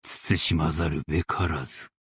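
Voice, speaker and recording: A man playing a stern, dignified old-world master craftsman and warrior in a game, speaking in archaic, formal, measured Japanese diction with weighty delivery. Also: extremely low pitch, fairly even intonation, fairly bright tone, slightly rough, no room sound, clean studio sound, heavily acted. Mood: reflective. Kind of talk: Japanese game character voice lines.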